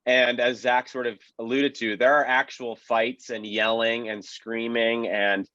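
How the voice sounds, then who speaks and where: A man's voice: mid-range voice, flat delivery, a little slow, pushed and bright, no room sound. A man speaking as a historian on a panel in a casual, informed way. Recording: dull, lo-fi, dry audio.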